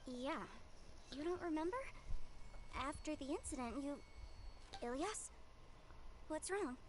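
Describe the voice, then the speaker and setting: A woman playing a caring, gentle young sister in a video game, speaking with concern. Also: high-pitched, expressive, measured pace, background noise.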